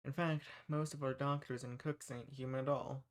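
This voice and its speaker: A man's voice: medium pitch, flat delivery, normal speed, close to an everyday voice. A man playing a kind, folksy human rebel who earnestly reassures and shelters monsters in a scripted audio roleplay. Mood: earnest, explaining. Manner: folksy, reassuring, earnest, uses y'all and ain't. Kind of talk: scripted audio roleplay, speaking softly to the listener.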